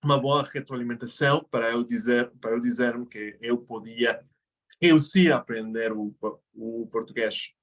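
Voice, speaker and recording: Male guest from Mexico, native Spanish speaker, mid-pitched voice, thinks aloud as he explains, restarts his phrases. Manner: explanatory, thinks aloud with pauses and self-corrections. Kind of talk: casual interview conversation.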